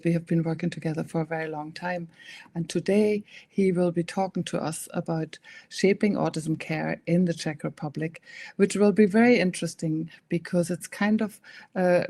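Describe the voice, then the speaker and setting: A woman's voice: lowish voice, a little mellow. A woman hosting an online lecture, introducing the guest casually with many fillers. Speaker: host introducing a guest speaker. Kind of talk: webinar introduction.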